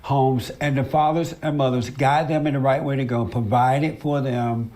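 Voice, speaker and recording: A man's voice: mid-range voice, steady tone, normal speed, a little mellow. A man hosting a confrontational debate interview, pressing his guest with repeated pointed questions. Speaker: show host.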